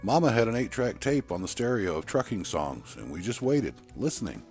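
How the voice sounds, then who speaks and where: A man's voice: lowish voice, sing-song, a little fast, darkish tone, soft background music, voice pushed up. A man voicing a wistful woman who earnestly recalls her trucker father in an audio story. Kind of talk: acted dialogue in an audio story.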